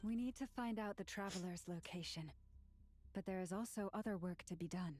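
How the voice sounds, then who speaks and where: A woman's voice: mid-range voice, brisk, a little mellow, natural voice. An actress playing a composed, serious woman leader in a fantasy game. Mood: grave, composed.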